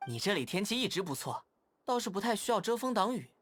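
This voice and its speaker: An actor voicing a friendly, playful young scout in a game's dubbed voice lines. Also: male voice, high-pitched, big pitch swings, quick pace, a bit bright, slight background noise. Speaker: game character. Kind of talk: game voice lines.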